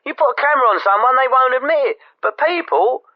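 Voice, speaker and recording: An actor playing a cocksure ordinary bloke phoning a spoof radio show, chatty, insistent and needling. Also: male voice, very high-pitched, lively intonation, fast talker, no room sound. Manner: insistent, chatty, tags phrases with "right". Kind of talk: spoof radio phone-in character comedy. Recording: dull telephone caller line.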